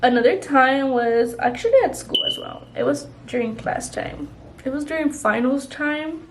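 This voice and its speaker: A woman's voice: high-pitched, moderate pace, slight background noise. A young woman vlogger chatting casually while doing her makeup, rambling with lots of "like" and "you know". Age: young adult. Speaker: beauty vlogger.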